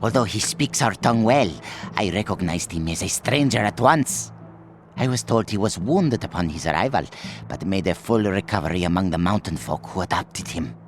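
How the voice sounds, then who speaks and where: An actor playing a servile, self-pitying desert trader with a pleading, theatrical manner in a video game cutscene. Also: male voice, deep voice, expressive, moderate pace. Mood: reverent storytelling. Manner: fawning, pleading, melodramatic. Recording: music behind the voice.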